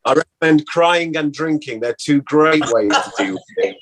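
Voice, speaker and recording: A man's voice: on the high side, animated, normal speed, a bit bright. A man talking candidly and wryly in a casual, reminiscing conversation as an interview guest. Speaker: interview guest, former actor.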